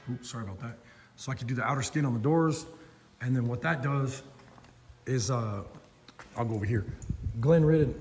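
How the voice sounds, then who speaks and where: Hoarse middle-aged man in a metal shop. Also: mid-range voice. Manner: rambling, filler-heavy, plainspoken. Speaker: host. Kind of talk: casual shop walkthrough. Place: large metal fabrication shop, indoors. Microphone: phone camera held in hand. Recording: reverberant shop with faint background noise.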